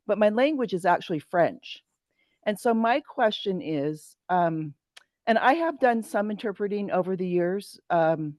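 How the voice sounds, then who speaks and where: Older woman, fairly low voice, dry sound. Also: a little mellow, no echo. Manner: hesitant, many fillers. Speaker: retired teacher. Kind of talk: online meeting talk.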